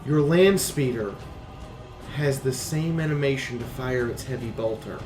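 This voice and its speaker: A man's voice: medium pitch, a little slow, echoey room, over music. A man giving opinionated game review commentary, speaking bluntly and emphatically and repeating phrases for stress.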